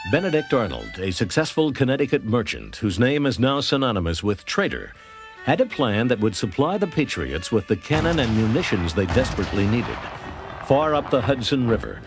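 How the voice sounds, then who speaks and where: An American man narrating a scripted history documentary. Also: on the low side.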